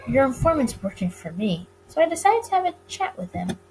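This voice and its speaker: A woman's voice: sits high, very animated, brisk, a little mellow, a little hiss or hum, exaggerated voice, low-quality recording. A woman voicing a sly, flirtatious male speakeasy boss in a visual novel, with a courtly, teasing manner. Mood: smooth, persuasive. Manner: smooth, courtly, teasing, wordy.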